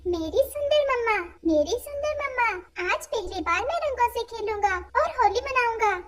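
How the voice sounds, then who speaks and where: Woman doing a little boy bird, cartoon, very high pitch, childlike, earnest, tender-hearted, lively intonation. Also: moderate pace. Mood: excited.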